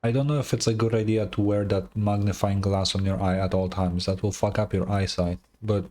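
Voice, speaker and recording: Very low-voiced woman streamer, offhand. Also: steady tone, fairly quick, dark tone. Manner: offhand, blunt, swearing. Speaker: streamer reacting to a game. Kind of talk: casual live game commentary.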